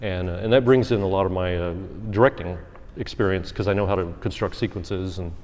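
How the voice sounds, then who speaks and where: A man's voice: sits low, a little fast, darkish tone. A man speaking as a panelist in loose, filler-heavy casual talk. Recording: reverberant convention hall.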